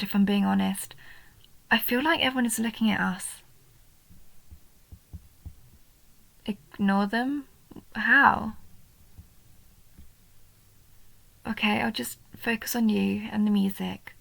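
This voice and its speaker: A woman voicing a shy, sweet, nerdy goblin girl in a soft roleplay audio, hesitant and gentle, often dropping into breathy half-whispers. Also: medium pitch, steady tone, unhurried, no room sound, full character voice, low-quality recording. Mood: embarrassed. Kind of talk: roleplay audio, soft intimate story acting.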